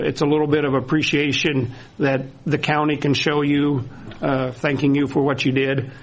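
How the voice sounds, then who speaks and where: A man with a gravelly voice hosts an award ceremony in a casual way, filling his speech with um and uh. He is speaking indoors at a community event, with faint noise behind him. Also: a little high, poor audio. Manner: informal, filler-heavy. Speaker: county representative, emcee. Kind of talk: ceremony remarks.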